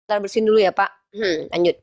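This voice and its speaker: A woman's voice: medium pitch, fast talker, dry recording. Woman, debate host, has a cold, dry close-miked sound, confident and combative. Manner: blunt, challenging, sure of herself. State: slight head cold. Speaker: host of a live religious debate stream. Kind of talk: argumentative live-stream debate.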